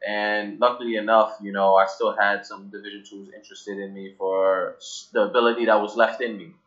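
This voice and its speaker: Man, from Cameroon, young adult, forceful bright tone, rambling filler-heavy chat. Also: fairly low pitch, level tone, a little fast, lo-fi sound. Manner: loose and talkative, many "you know" fillers and restarts. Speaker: guest, app founder. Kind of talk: casual interview chat.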